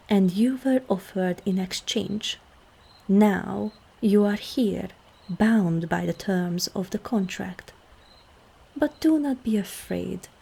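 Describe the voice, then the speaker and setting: Breathy, creaky demon queen played by a woman. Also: mid-range voice, mellow, heavily acted. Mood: composed, reassuring. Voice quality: breathy, husky. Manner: slow, deliberate, formal, often dropping into whispers. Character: seductive, regal demon queen, calm and commanding. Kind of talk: ASMR roleplay.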